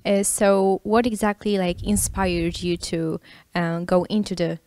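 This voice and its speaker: A woman's voice: lowish voice, flat delivery, unhurried, a little mellow, no room sound. Hesitant woman interviewer, dry sound. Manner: hesitant, many fillers and restarts. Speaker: interviewer host. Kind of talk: studio interview.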